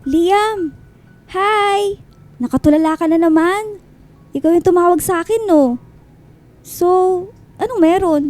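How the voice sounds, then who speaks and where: A woman's voice: very high voice, takes it slowly, long pauses, a little mellow, soft background music. A woman with a Filipino accent playing a cheerful, teasing young woman chatting with her best friend in a radio drama. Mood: bright, curious. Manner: playful, casual.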